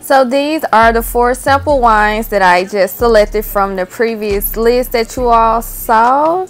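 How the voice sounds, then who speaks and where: Slow-talking woman vlogger. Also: fairly high pitch. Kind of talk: casual vlog narration.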